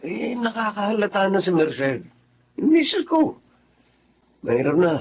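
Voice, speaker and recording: An actor playing a smooth-talking cheating husband, coaxing and reassuring, in radio drama style. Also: male voice, high voice, wildly swooping pitch, measured pace, exaggerated voice. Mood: uneasy, coaxing. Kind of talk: Filipino radio drama acting. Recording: slightly dull audio, faint background noise.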